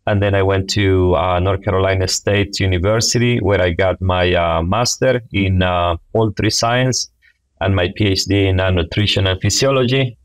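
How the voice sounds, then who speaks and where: A man's voice: deep voice, fairly even intonation, moderate pace, no echo. A middle-aged man from Honduras with a Honduran Spanish accent, explaining things as an interview guest on a video call. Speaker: guest, poultry science professor. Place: small indoor office, video call. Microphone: wireless earbuds on a video call.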